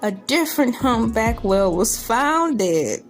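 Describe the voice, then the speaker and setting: Slow-paced, high-voiced woman joking over music. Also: very animated. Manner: incredulous, joking, rambling asides. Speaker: video host. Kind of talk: casual comedic commentary on a news article. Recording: music bed under the voice. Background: background music.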